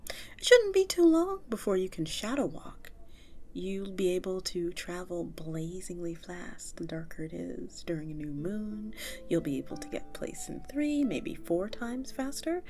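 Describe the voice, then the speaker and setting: A woman's voice: on the low side, unhurried, faint music behind. A woman voicing a friendly, nurturing vampire tavern keeper in an audio roleplay, chatting to the listener in a coaxing, conversational way. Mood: sympathetic, encouraging. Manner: animated, coaxing, conversational with little chuckles.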